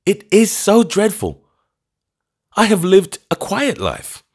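A man's voice: high-pitched, huge pitch swings, slow pace, husky, pitched above the performer's own voice, exaggerated voice. A man voicing a meek, trembling old clergyman who stammers out anxious apologies. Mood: anxious pleading.

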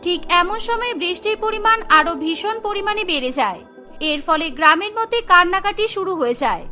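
Female cartoon storyteller: very high, bright voice, scripted narration over faint music. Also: expressive, a little fast.

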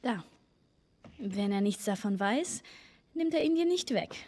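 A woman voicing a caring, candid teenage survivor girl in a German video game dub, gentle and hesitant in manner. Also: mid-range voice, animated, unhurried. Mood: reassuring, candid. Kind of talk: German video game dubbing, naturalistic dialogue.

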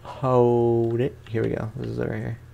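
A man's voice: medium pitch, monotone, moderate pace, gravelly, mellow, highs cut off. A man hosting a let's play, chatting casually and playfully in slangy speech as he comments on the game. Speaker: gamer, let's play host.

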